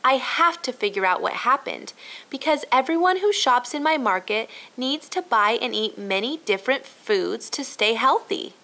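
Friendly storybook shopkeeper acted by a woman narrator, upbeat, instructive, with very lively pitch. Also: normal speed. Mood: concerned, explaining. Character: friendly, helpful woman shopkeeper explaining to children.

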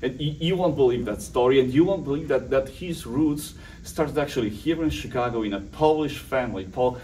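A man's voice: medium pitch, fairly quick, dark tone, distant mic, a little hiss or hum, low-quality recording. A man hosting an interview, giving short prompts and introductions. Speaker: interviewer, host. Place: studio with printed backdrop.

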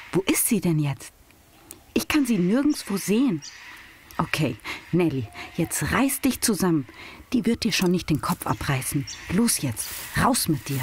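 Excitable, swooping teenage girl played by a woman. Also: lowish voice, a little hiss or hum. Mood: nervous. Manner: slangy, youthful. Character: curious, excitable teenage girl, talking to herself.

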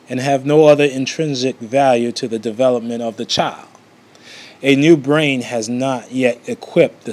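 A man reading a book aloud in an amateur way, often stumbling and going back over phrases. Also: mid-range voice.

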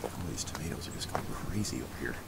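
Very deep-voiced man touring his garden. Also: fast, vocal fry, whispered. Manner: relaxed, chatty, points things out while walking. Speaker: homestead vlogger. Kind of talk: casual garden walkthrough. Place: backyard garden outdoors. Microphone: handheld camera held while walking.

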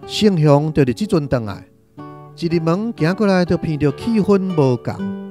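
A man with a Taiwanese accent narrating a radio drama in an expressive, literary storytelling style. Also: on the high side, moderate pace, music in the background.

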